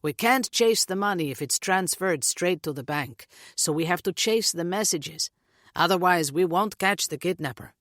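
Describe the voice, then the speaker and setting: A woman's voice: fairly low pitch, lively intonation, fairly bright tone, dry recording, studio quality. A woman voicing a cold, arrogant police captain with a throaty voice in an audiobook. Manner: slow, measured, cold.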